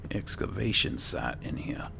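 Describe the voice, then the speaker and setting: Very deep, gravelly man, slow and muttering. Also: animated, in a whisper. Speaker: gamer hosting a roleplay playthrough. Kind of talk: casual game commentary. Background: game ambience.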